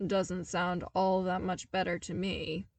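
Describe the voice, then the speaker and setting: A woman voicing a skeptical, terse young man in a story reading, questioning in a measured, dubious way. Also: on the low side, flat delivery, darkish tone, no room sound. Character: skeptical, terse young man, sheltered core-worlder friend.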